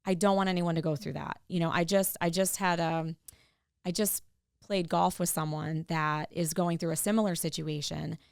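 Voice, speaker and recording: Middle-aged woman, podcast guest, gravelly yet smooth voice, low-ish pitch, dry sound. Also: steady tone, moderate pace, dry recording. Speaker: podcast guest, tax professional. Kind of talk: casual interview chat.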